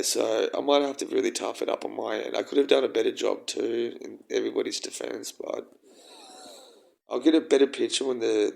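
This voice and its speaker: A young man with a croaky voice, rambling and halting through a casual personal vlog. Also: medium pitch, a little mellow, poor audio. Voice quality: croaky, gravelly. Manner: rambling, halting, with frequent ums and swearing. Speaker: vlogger.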